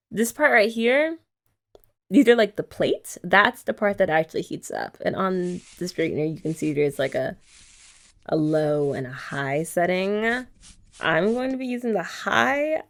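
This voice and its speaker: A woman's voice: medium pitch, a bit bright. A young woman chatting playfully and teasingly in a girlfriend roleplay ASMR. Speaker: ASMR creator.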